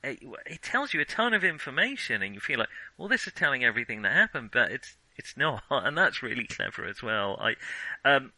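A man's voice: medium pitch, very animated, a little fast, bright, forceful tone, no echo, low-quality recording. A man co-hosting a film podcast, chatting casually with wry, enthusiastic humour. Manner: enthusiastic, chuckling, wryly joking.

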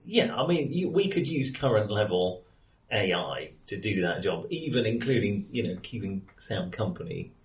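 A man's voice: on the low side, very animated, moderate pace. Male co-host, conversational film chat with hedged asides, slightly distant in a reverberant room. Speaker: film discussion podcast co-host. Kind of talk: casual film discussion. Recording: roomy echo, distant from mic.

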